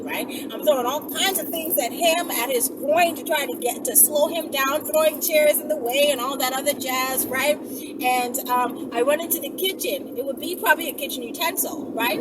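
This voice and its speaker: A woman vlogger chatting casually and playfully to her followers, rambling and emphatic, often checking in with "right?". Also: sits high. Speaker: vlogger answering viewer questions. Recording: noisy vehicle ambience, distant mic.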